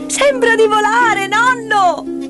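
A woman's voice: very high voice, fairly even intonation, takes it slowly, voice pushed up. A woman voicing an emotional, eager seven-year-old mountain girl in a storybook, exclaiming and pleading, with music behind her. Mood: joyful.

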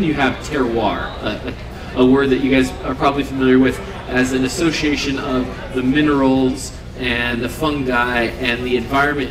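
A man in his thirties speaks earnestly to an audience at an outdoor stage, pausing with fillers and starting his sentences over. He is picked up from a distance, so his voice sounds roomy. A crowd murmurs clearly behind him. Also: mid-range voice. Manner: earnest, unscripted, with frequent fillers and restarts. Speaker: seafood company representative. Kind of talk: live demo talk to an audience. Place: outdoor event stage under trees.

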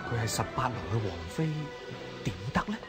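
An actor playing an aging, lovestruck emperor in a historical radio drama, with a stately, theatrical delivery. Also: male voice, mid-range voice, lively intonation, a little fast, over loud music, close to an everyday voice. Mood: wistful, hesitant.

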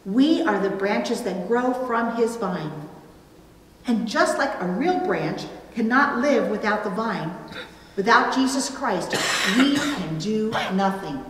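A middle-aged woman preaching a sermon from a pulpit, with deliberate, emphatic phrasing and pauses. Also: mid-range voice, fairly bright tone. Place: indoors at a church pulpit. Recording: roomy, reverberant church, distant, faint noise.

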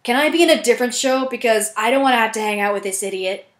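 A woman's voice: a little high, fast, voice pushed down, natural voice. A woman voicing an irritated, snooty teenage cartoon girl with a scornful, exasperated manner. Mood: scornful, annoyed. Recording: echoey room.

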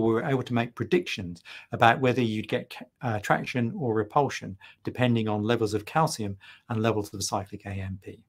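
A man's voice: lowish voice, husky. A middle-aged man giving a keynote lecture over slides on a video call, full of ums and restarts. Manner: hesitant, many ums and restarts. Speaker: keynote speaker. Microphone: webcam on video call.

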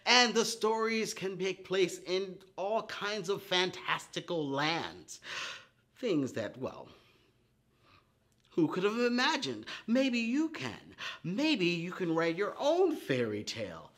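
A middle-aged man hosting a preschool storytime, teaching and reading in a theatrical, drawn-out way with gasps and dramatic pauses. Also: sits high, big pitch swings, a bit bright. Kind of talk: children's storytime lesson with expressive poem reading. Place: indoor set with painted forest backdrop.

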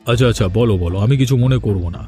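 A man's voice: medium pitch, racing, dark tone, soft background music, lightly acted. A man voicing a stern, gullible fish-farm boss in a cartoon, with a commanding manner. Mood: curious. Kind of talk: cartoon dialogue.

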